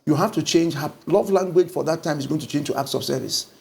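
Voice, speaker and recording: A middle-aged man with a Nigerian accent speaks in a slightly raised, gravelly voice, recorded close on a lapel mic. He talks through ideas like a pastor in conversation, animated and expressive as he explains. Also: on the high side, quick pace. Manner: animated storytelling with lively gestures. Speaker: pastor and guest. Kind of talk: conversational book discussion. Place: indoor studio set.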